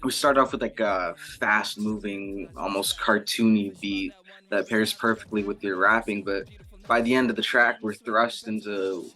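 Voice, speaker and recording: A man's voice: on the low side, steady tone, a little slow, sharp tone. A man interviewing a musician, asking wordy, descriptive questions in a prepared but informal way.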